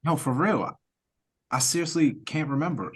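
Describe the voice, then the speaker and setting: A woman's voice: low-pitched, animated, moderate pace. A woman playing a confused, nervous man in an audio play read-through recorded on a video call. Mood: confused, uneasy.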